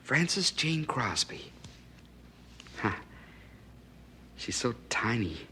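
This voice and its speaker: A man's voice: mid-range voice, big pitch swings, very slow, pushed and bright, a little hiss or hum, exaggerated voice. An actor playing an earnest, flustered young husband and expectant father in a period audio drama, stammering a little. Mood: tender, hesitant. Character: excitable, devoted young husband and expectant first-time father.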